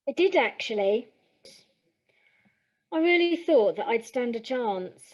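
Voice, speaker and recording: An actress playing an earnest, wounded young stage hopeful, speaking plainly and from the heart in an audio play. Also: female voice, fairly high pitch, animated, slow, darkish tone, muffled recording. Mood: wistful.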